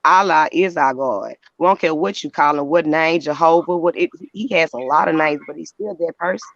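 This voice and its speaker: A woman's voice: low voice, moderate pace, gravelly, no room sound. A woman calling into a live show, preaching urgently. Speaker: caller. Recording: dull, dry call-in line.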